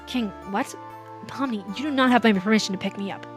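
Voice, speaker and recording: A hoarse woman voicing a sulky, snide male rabbit prince from a cartoon game, speaking proudly and sarcastically. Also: on the high side, a little fast, faint music behind, close to an everyday voice. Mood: indignant.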